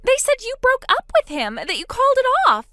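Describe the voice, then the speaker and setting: Lady doing a scared young bride, high pleading voice, jumps all over the place, dry close sound. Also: huge pitch swings, normal speed, bright, forceful tone, dry recording, full character voice. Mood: panicked. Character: frightened young woman, trapped bride.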